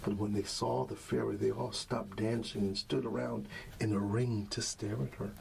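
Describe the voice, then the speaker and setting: A man speaking softly and soothingly as a father at bedtime in an ASMR roleplay, often trailing toward a whisper, with a creaky voice. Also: on the low side, little pitch movement, normal speed, a little hiss or hum. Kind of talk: soft ASMR bedtime storybook reading, talking to a child.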